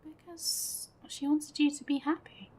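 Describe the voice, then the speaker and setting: Higher-pitched woman streaming a game. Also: flat delivery, measured pace, a little mellow, a little hiss or hum. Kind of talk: casual live reaction commentary over a story game.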